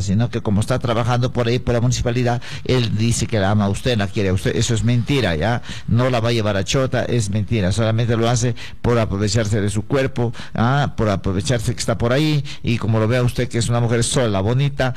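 A man's voice: mid-range voice, darkish tone. Man, emphatic, repetitive, preacher-like, live broadcast. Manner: emphatic, repetitive, preachy, addresses listeners as brothers. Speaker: radio psychic answering letters.

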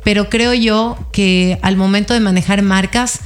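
A woman's voice: mid-range voice, a little slow, faint music behind. A woman with a southern coastal Ecuadorian accent, a guest chatting casually and expressively in an interview. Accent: southern coastal Ecuadorian Spanish. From Ecuador, El Oro province. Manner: chatty, expressive, frequent laughs and fillers.

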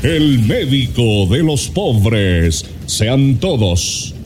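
Low-voiced radio announcer, slow and dramatic, voice swings a lot, music under it. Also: male voice, very animated, a little mellow, a little hiss or hum. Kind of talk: slow scripted promo announcement.

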